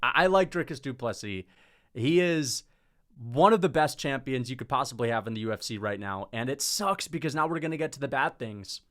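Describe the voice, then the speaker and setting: A young man giving casual commentary on fighters in an animated, opinionated rant, swearing freely and tossing in rhetorical asides. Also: medium pitch, brisk. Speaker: MMA commentary YouTuber.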